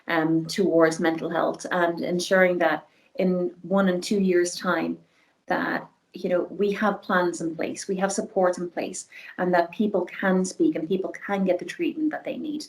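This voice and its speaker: A woman's voice: lowish voice, rough recording. A woman co-host thanking guests and wrapping up an interview. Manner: grateful, friendly sign-off. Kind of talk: interview wrap-up.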